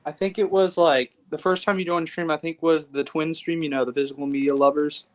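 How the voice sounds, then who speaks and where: A man's voice: on the high side, flat delivery, brisk, a little hiss or hum. An eighteen-year-old man chatting casually on a stream, eager and often restarting his phrases. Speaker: guest. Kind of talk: casual chat.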